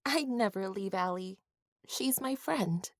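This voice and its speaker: A woman's voice: medium pitch, animated, slow, no room sound, studio quality. A woman voicing a loyal, sassy imaginary-friend princess in an audio drama, with a pointed, haughty manner. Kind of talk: audio drama character acting.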